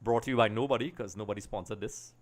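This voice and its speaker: A man's voice: on the low side, quick pace. A middle-aged man from Trinidad and Tobago, chatting casually to viewers as he hosts a football watch-along in a rambling way. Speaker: livestream host. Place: small home streaming room. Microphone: desk microphone on a boom arm.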